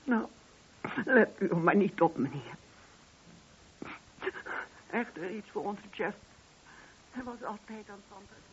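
A woman's voice: mid-range voice, expressive, unhurried, pauses often, a little hiss or hum, lightly acted. A woman playing a grieving, talkative, wistful mother in a Dutch radio play. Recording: dull, muffled old radio recording.

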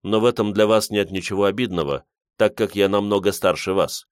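A man narrating plays a self-assured, eccentric inventor in his sixties from an audiobook. He speaks low, with polite, formal weight, in a dry close recording. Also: fairly even intonation, brisk, smooth, no room sound, natural voice. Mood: polite, heartfelt. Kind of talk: audiobook character dialogue.

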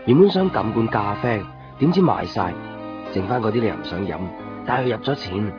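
An actor playing a brooding, gentle young man who narrates his lost love introspectively in a Cantonese radio drama. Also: fairly low pitch. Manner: wistful, introspective. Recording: dull 1990s radio recording, music behind. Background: music with guitar.

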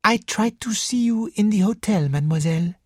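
A woman narrator voicing a courteous, self-assured male detective who speaks gently and thoughtfully in an audiobook. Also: mid-range voice, expressive, brisk, husky, no echo, clean studio sound, pitched above the performer's own voice. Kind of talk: audiobook character dialogue.

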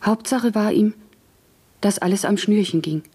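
An actress playing a deferential, anxious country housemaid looking for a position in a German radio play, meek and dutiful. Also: female voice, mid-range voice, normal speed, dark tone, husky, lightly acted. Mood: earnest. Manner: meek, dutiful, short obedient answers.

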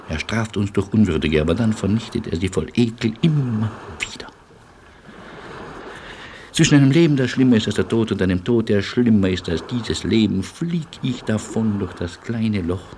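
A man playing a philosophical, nervous yet indomitable refugee in a German radio play, speaking in a deliberate, musing, theatrical way. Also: fairly low pitch, expressive, darkish tone. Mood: grave, fervent. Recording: noisy old radio play recording.

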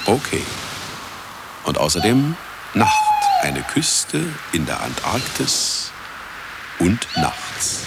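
A sardonic, grandiose broadcaster in a radio drama, lecturing the listeners. His deep voice is gravelly and swoops theatrically from line to line, mocking as it goes. Some ambient noise sits under the speech. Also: huge pitch swings, very slow, long pauses, exaggerated voice. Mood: sly, showy. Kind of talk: radio play monologue.

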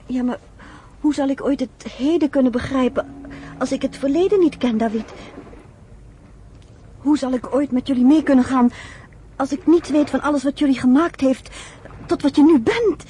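Actress as earnest imploring woman, high mellow voice, radio play, faint hiss. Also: moderate pace, pauses often, close to an everyday voice. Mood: earnest pleading. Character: earnest, pleading woman eager to understand. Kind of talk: radio drama acting. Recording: slightly noisy old radio recording.

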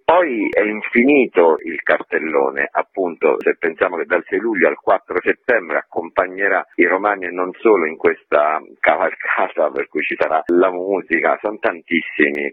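Casting: male radio guest, low-pitched and husky, conversational, heard through a narrow phone line. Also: no echo. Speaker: theatre artist and festival guest. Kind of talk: radio interview, informal listing of programme.

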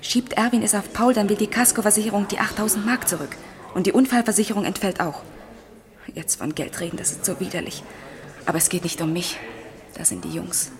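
Actress as desperate wife and mother, mid-pitched, insistent, pleading for her family in radio drama. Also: brisk, lightly acted. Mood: pleading, anxious. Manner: insistent, imploring. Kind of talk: German radio crime drama acting.

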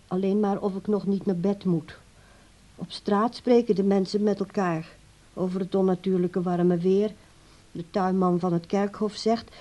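Actress doing a critical scheming grown daughter, radio play, dark soft voice, medium pitch. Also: female voice, close to an everyday voice. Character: critical, calculating adult daughter of an old man. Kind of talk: Dutch radio play acting.

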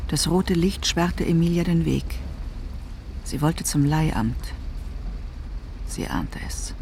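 A man with a fairly high, creaky voice narrates literary prose in a radio play. Faint street noise runs behind him. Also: takes it slowly, a little mellow.

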